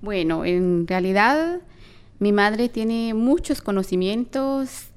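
A woman's voice: medium pitch, animated, takes it slowly, clear, resonant tone. A young woman with a Guatemalan accent, speaking earnestly as an activist guest in an interview. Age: young adult.

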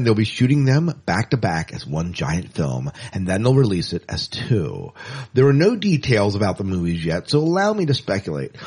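A man co-hosting a podcast, chatting casually and in an animated way about movie news. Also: on the low side, breathy edge. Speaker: podcast co-host.

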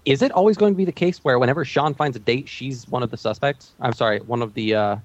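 A man's voice: medium pitch, quick pace, no echo, muffled recording. A man chatting casually as a podcast panelist, with wry, self-mocking humour. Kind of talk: casual TV recap chat.

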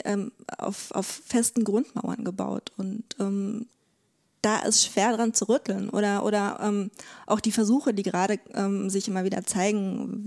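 Casting: female academic expert, soft-edged warm tone with noticeable creak, explaining conversationally with careful, halting phrasing. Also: mid-range voice. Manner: hesitant, careful, pauses to search for words. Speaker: legal academic guest.

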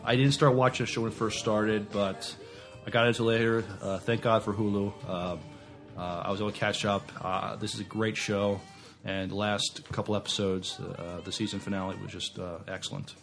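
A man co-hosting a podcast in casual discussion, halting and often repeating the starts of words. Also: on the low side, flat delivery, creaky, soft background music. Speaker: podcast co-host.

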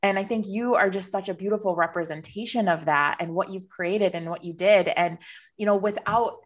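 A woman's voice: medium pitch, moderate pace. A woman hosting a podcast interview, encouraging and reflective. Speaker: podcast host. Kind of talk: casual interview chat.

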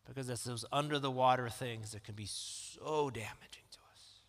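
A man preaching a sermon in a calm, conversational way that rises into emphatic questions. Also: medium pitch, moderate pace. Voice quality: calm, soothing voice. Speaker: pastor. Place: church sanctuary. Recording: long reverb of a church hall.

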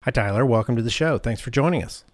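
A man's voice: mid-range voice, fast talker, no echo, studio quality. A man hosting a conversational podcast, talking informally and thinking aloud as he goes. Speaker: podcast host.

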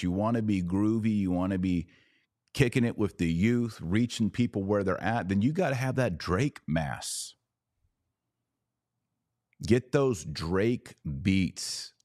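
Man, podcast host, very low voice, unhurried delivery, sarcastic and emphatic. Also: darkish tone, no echo, studio quality. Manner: sarcastic, emphatic, mocking asides. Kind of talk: livestreamed commentary podcast.

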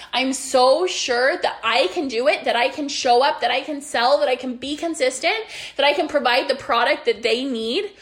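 Young woman, high voice, earnest motivational talk, rambling, voice going after illness. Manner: earnest, rambling with many likes and restarts. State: losing her voice after being sick. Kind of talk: casual motivational monologue.

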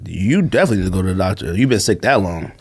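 Animated, joking man on a casual podcast, under the weather. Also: low voice, racing, slightly rough. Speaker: sneaker podcast co-host. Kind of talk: casual chat.

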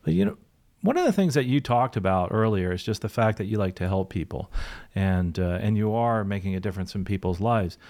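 A man's voice: on the low side, expressive, brisk, vocal fry, darkish tone. A man hosting a podcast in an upbeat, affirming, conversational way. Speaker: podcast host, pilot and career coach. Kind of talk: conversational interviewing.

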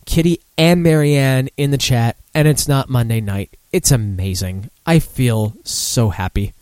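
Tipsy guy hosting a loose live podcast, rambles, swears, puts himself down, mid pitch, dry close sound. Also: unhurried, darkish tone, clear, resonant tone, dry recording. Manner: rambling, self-deprecating, profane. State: mildly tipsy on wine. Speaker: podcast host. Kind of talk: casual live beer-news chat.

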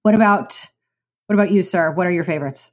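A woman's voice: on the low side, fast talker. A woman hosting a podcast, asking her guests questions in a friendly, curious way. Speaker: podcast host. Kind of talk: casual interview.

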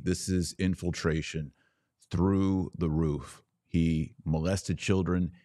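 A man with a very low voice delivers opinionated news commentary as a podcast host. He sounds indignant and emphatic, leaning on short, punchy phrases that he repeats. The sound is dry and close, with no room around it. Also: no echo.

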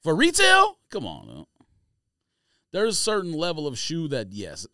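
Dude on a sneaker podcast arguing his point, slangy, pitch all over, no room echo. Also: huge pitch swings, measured pace, bright, forceful tone, studio quality. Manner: slangy, insistent. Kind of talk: casual chat and debate.

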